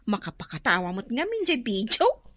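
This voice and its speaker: A woman's voice: on the high side, wildly swooping pitch, normal speed, a bit bright, no room sound, clean studio sound, exaggerated voice. A woman playing a giggly, gossipy young best friend in a comedic radio drama. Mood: gossipy, amused.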